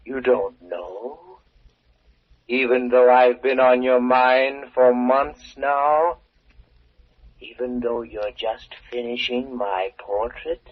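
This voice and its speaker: Slow, husky, sinister Death. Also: male voice, medium pitch, fairly even intonation. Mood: taunting. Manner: slow, deliberate, menacing. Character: Death personified, a slow, taunting, sinister male presence. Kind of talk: 1940s radio acting. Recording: muffled old radio recording.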